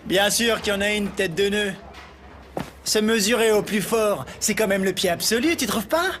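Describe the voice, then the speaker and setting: A man's voice: high-pitched, normal speed, a bit bright, a little hiss or hum. An actor playing a cocky young male fighter who lives for a good fight, in a French anime dub. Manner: cocky, insulting. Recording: music behind.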